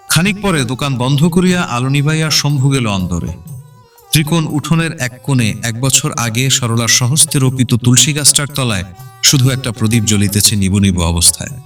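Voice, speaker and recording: A man's voice: medium pitch, distant mic. A man reading literary narration in a dramatic, scripted audio-story style. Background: background instrumental music.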